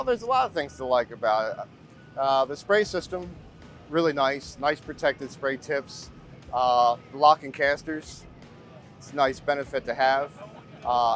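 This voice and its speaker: A man's voice: mid-range voice, fairly bright tone. A man, a mechanic, giving a testimonial in an outdoor interview. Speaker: lawn fertilizing company mechanic. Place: outdoors at a trade expo field. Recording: outdoor interview with faint music.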